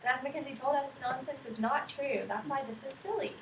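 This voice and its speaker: A woman's voice: high-pitched, fairly quick. A woman reading a picture book aloud to young children, asking simple questions and prompting and affirming their answers. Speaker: student teacher reading aloud to kindergarten children. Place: echoey indoor room with children. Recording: roomy, noisy, distant microphone.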